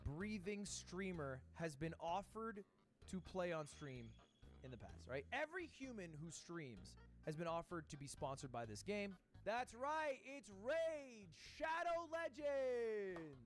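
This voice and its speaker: A man's voice: high voice, sing-song, a little slow. A man in a comedy video, reviewing games with sarcastic, swearing asides. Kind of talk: casual comedic video monologue. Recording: video played on stream with faint music bed.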